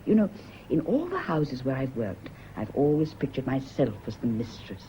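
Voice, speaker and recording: A woman's voice: a bass voice, big pitch swings, normal speed, warm tone. An actress playing an anxious, guilt-haunted woman with a British accent, nervous and brooding in a radio drama. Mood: reminiscing. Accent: British English. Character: anxious, guilt-haunted woman, former live-in servant or companion. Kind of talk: British stage-style radio drama acting. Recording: dull, hissy old radio recording.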